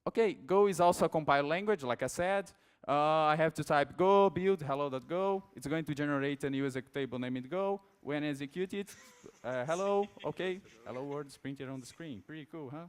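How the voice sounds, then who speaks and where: A man's voice: fairly high pitch, brisk, few pauses, smooth. Young Brazilian man giving a tech talk. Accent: Brazilian Portuguese. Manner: relaxed, self-deprecating, many fillers and restarts. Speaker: conference speaker. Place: large dark auditorium stage.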